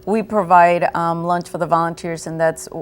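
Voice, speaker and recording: Lowish-voiced woman explaining a program. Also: little pitch movement, normal speed, slight background noise. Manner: clear, explanatory, gives examples. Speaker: program coordinator guest. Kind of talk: explaining in an interview. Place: local TV studio.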